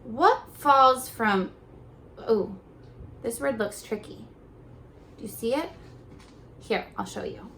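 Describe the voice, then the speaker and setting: Slow-talking woman teacher reading to kids. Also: a little high, expressive, creaky, sharp tone, a little hiss or hum. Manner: slow, encouraging, sounding out words. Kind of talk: read-aloud lesson for young children. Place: kitchen floor at home.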